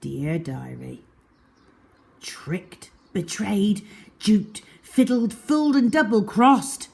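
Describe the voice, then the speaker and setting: A woman's voice: mid-range voice, big pitch swings, drawn-out words, vocal fry, a little mellow. A woman storyteller reading a children's diary-style story aloud with expression.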